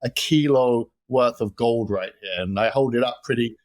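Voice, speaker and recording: Energetic London man, dry sound. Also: mid-range voice, normal speed, no echo. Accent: London English. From London, England. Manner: energetic, repeats words when starting sentences. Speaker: guest, gold investment expert. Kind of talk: casual interview talk.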